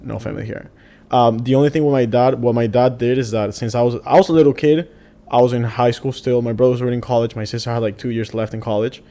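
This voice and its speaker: Podcast guest, man in his 20s, rapid and rambly, warm tone. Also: medium pitch, steady tone. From Venezuela. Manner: rambling, many fillers. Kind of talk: casual interview chat.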